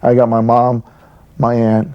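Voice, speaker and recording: A man's voice: medium pitch, monotone, measured pace, smooth, muffled recording. A middle-aged man talking casually and unscripted in an interview as a father, plainspoken and repeating phrases for emphasis. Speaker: father being interviewed at home.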